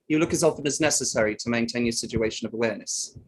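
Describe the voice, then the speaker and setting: A plain-spoken riverboat crewman played by a man in a play reading gives evidence in a firm, matter-of-fact way. He sits at an average male pitch and answers insistently, a little on the defensive. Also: very fast, lightly acted, rough recording. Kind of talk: table read of an inquiry play.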